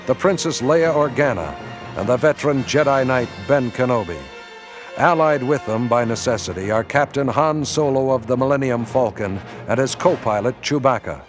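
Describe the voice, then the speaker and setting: A man narrating a radio drama in a grave, measured storytelling voice. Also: medium pitch. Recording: narration over music score.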